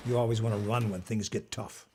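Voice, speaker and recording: A man acting in an audio drama as an old magician and collector, formal, smug and lecturing, with a measured delivery. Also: on the low side, steady tone, heavy background noise, natural voice. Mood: composed, smug. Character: old male magician and artifact collector, commanding, smugly moralizing.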